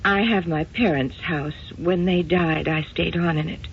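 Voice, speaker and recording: A woman's voice: fairly low pitch, steady tone, unhurried. An actress in a radio drama playing a meek, dutiful secretary in her forties, hesitant and deferential. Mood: timid, deferential. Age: 40s. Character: meek, dutiful middle-aged secretary, newly married. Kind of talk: old-time radio drama acting. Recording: muffled, noisy old radio recording.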